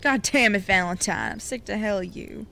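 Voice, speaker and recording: A woman playing a gruff, stubborn young male deputy in a Western audio drama, curt and grumbling. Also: mid-range voice, normal speed, sharp tone. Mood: exasperated.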